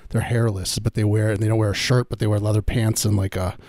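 Mellow, low-toned man riffing deadpan. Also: fast, no room sound, clean studio sound. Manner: hesitant, deadpan absurd riffing. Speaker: comedy podcast host. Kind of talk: casual comedic banter.

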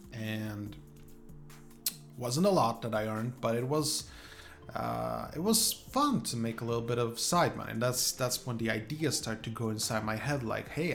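A man from Sweden, an English speaker, chatting informally and unscripted to his viewers in a halting, rambling way. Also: mid-range voice, gravelly, a bit bright. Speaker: YouTuber. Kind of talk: casual chat to viewers, unscripted.

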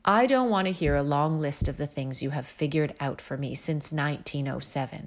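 A woman's voice: low-pitched, moderate pace. Woman doing a demanding storybook king. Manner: impatient and commanding, turning mournful. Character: hot-tempered demanding old king.

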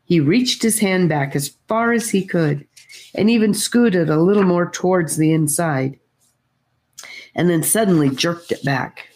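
A woman reading a children's fantasy novel aloud in a gentle, unhurried storytelling manner. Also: on the low side. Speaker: audiobook reader. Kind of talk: storybook narration.

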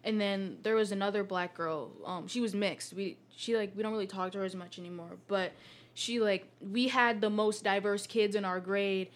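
A woman's voice: medium pitch, fairly even intonation, normal speed. Teen girl, casual chat, laughs often, lots of fillers. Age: teens. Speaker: guest.

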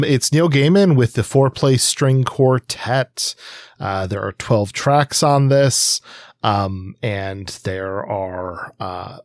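A man co-hosting a podcast in a casual, hesitant way, with frequent ums and pauses. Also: medium pitch, no room sound, clean studio sound. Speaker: podcast co-host.

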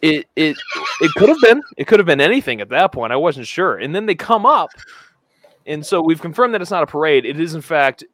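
Animated, bright-voiced man telling a story. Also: a little high, a little fast, slight background noise. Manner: rambling, lots of "like", animated anecdotes. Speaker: podcast co-host. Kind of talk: casual chat, storytelling.

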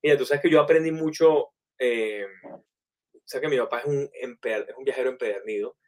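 A man telling animated, anecdotal stories in a relaxed conversation. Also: mid-range voice, moderate pace. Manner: animated, anecdotal, many fillers. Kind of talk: casual storytelling in conversation.

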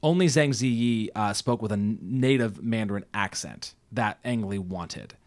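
A man co-hosting a podcast, talking about a film in casual chat with a halting, enthusiastic manner and many restarts. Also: on the low side, darkish tone. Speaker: podcast co-host.

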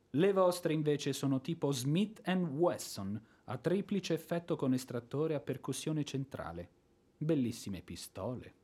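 Blustering high-pitched gruff officer. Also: male voice, warm tone, heavily acted. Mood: instructive, distracted. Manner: blustering, theatrical, explosive. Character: gruff, hot-tempered former army officer, loud and boorish. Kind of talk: Italian radio drama acting.

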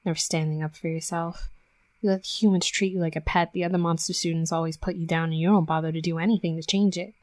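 A woman's voice: lowish voice, steady tone, fast talker, a little mellow, no echo, natural voice. A woman voicing a tough young female werewolf bully in an intimate roleplay audio drama, brash and sweary but grudgingly tender. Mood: gruff, scolding.

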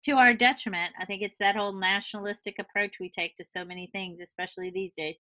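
A middle-aged woman with an American accent and a bright, forceful voice. She explains things in an articulate, measured way, as if advising someone across an interview table. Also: mid-range voice, fairly even intonation. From United States. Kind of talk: conversational advice in an interview.

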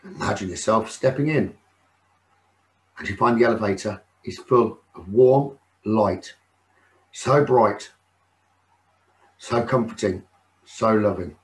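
A man leading a guided meditation in a soothing, unhurried manner with frequent pauses. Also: fairly low pitch, poor audio. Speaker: meditation teacher.

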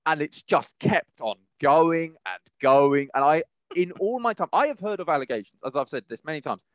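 A man co-hosting a podcast, casually discussing news in a halting, rambling way and often rephrasing mid-sentence. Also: fairly high pitch, expressive, dry recording, highs cut off. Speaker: podcast co-host.

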